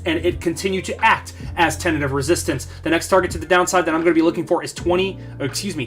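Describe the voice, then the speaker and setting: A man hosting a market analysis channel, slightly hoarse, talking bluntly and emphatically with lots of fillers and repeated phrases. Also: a little high, fairly bright tone. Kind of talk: casual chart analysis talk.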